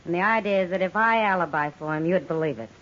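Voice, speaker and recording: A woman's voice: lowish voice, fast, a bit bright, slight background noise, close to an everyday voice. An actress playing a tough, wry frontier woman in a 1950s radio western, cool and direct. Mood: wry, resolute. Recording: muffled 1950s radio recording.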